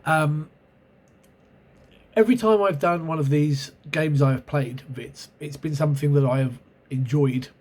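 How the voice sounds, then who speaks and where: A man's voice: a little high, a little slow, darkish tone. A man with a cold and sore throat hosting his own video, rambling and hesitant as he recalls an old role-playing game, recorded on a camera mic at home. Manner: rambling, hesitant, many ums and self-corrections. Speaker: channel host reviewing role-playing games. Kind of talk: casual spoken video review.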